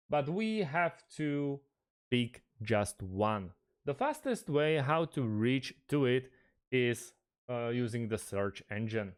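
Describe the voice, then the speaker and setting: Man narrating a step-by-step tutorial. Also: mid-range voice. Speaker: tutorial presenter.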